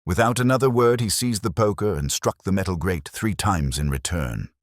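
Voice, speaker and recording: A man reads a scripted mystery story in a measured, atmospheric, dramatic way. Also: low voice, no echo, studio quality. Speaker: audiobook narrator.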